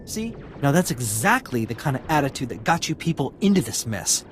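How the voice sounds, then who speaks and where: An actor playing a weaselly, aggrieved refugee informant in a video game, whiny, mouthy and wheedling. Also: male voice, medium pitch, big pitch swings, fairly quick, a little hiss or hum, close to an everyday voice. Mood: sly, wheedling. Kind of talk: video game cutscene acting.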